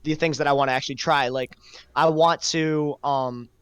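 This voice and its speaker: Clear-voiced young man, reflective, close dry sound. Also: on the high side, fairly even intonation, moderate pace, fairly bright tone, dry recording. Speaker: podcast host. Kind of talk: casual podcast conversation.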